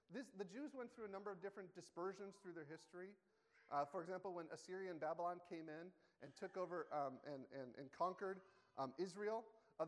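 Conversational pastor in a reverberant hall. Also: male voice, sits high. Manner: conversational, self-correcting, asks the congregation questions. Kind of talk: sermon. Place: church sanctuary.

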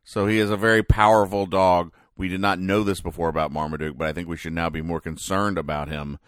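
Deep-voiced man, deadpan and halting. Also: no echo, studio quality. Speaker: podcast host. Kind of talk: casual comic-strip recap commentary.

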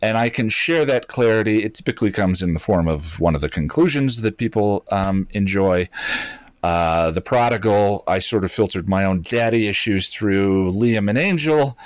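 A man's voice: lowish voice, expressive, normal speed, no room sound, clean studio sound. A man in reflective casual conversation, searching for his words and speaking hesitantly about his own experience. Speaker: video channel creator.

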